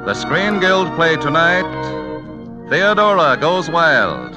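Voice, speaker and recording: A man's voice: a little high, big pitch swings, slow. Vintage male radio announcer: dramatic, scripted, swooping delivery over music. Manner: formal, dramatic showmanship.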